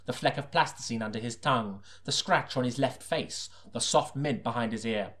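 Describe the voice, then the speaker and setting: Deadpan man narrating a noir detective spoof. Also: medium pitch, normal speed. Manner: deadpan, self-serious. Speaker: audiobook narrator, first-person detective.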